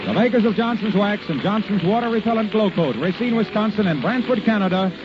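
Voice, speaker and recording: A man's voice: high voice, fairly quick, music in the background. An American man, a polished, salesmanlike announcer reading advertising on mid-century radio. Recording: muffled vintage radio recording.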